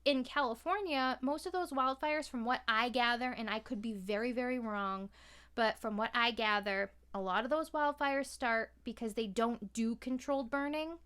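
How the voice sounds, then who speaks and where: Clear, higher-voiced woman in casual chat. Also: normal speed, a bit bright. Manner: informal, swears freely. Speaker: podcast guest.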